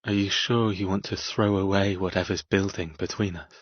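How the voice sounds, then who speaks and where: Dark-romance audiobook captor: low, breathy-rough, soft-spoken, possessive, deliberate. Close dry recording. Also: male voice, steady tone, no echo, highs cut off. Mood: insistent, intimate. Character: possessive, intense man tending his wounded captive woman. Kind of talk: audiobook character dialogue.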